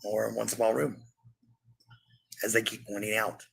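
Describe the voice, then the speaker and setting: A woman with a low-pitched voice delivering an angry, confrontational rant, swearing freely and repeating herself as a parent hitting back at critics. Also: level tone, unhurried, gravelly, breathy edge. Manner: combative, profane, repetitive. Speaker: parent answering online critics.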